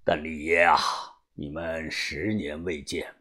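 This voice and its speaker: Cold old gang boss for audiobook man performing: deep, husky near-whisper, grave and measured. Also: flat delivery, heavily acted. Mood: grave, certain. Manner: grave, deliberate, hushed. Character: calm, cold, shrewd old tomb-raiding gang boss.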